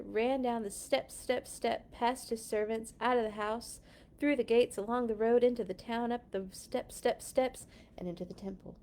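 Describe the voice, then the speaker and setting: A woman's voice: a little high, warm tone. A woman reading picture books aloud to children, telling the stories expressively and talking to the young listeners between passages. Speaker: children's story reader. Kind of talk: children's read-along storytelling.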